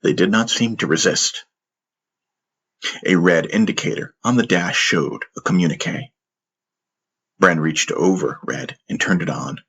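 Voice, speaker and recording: A man's voice: sits low, measured pace, long pauses, fairly bright tone, breathy edge. A man narrating a scripted fantasy audiobook story.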